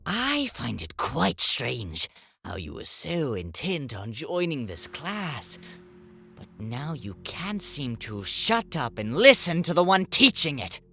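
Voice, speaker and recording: Prim male professor as a man acts him: formal, lecturing, deliberate pace with big swings in pitch, music behind. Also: very animated, gravelly, bright, forceful tone, full character voice. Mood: stern. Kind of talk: scripted animated roleplay acting.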